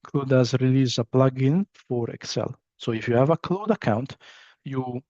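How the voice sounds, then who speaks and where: A man's voice: mid-range voice, moderate pace, mellow, no echo, lo-fi sound. A man with an Italian accent, a non-native English speaker, giving a training session with many fillers and restarts. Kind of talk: online training presentation.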